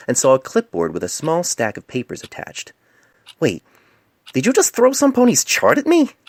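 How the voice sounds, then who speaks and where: A man's voice: fairly low pitch, a little mellow, no echo. A man reading scripted fan fiction narration as an amateur storyteller, recorded on a cell phone microphone. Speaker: author narrating own story.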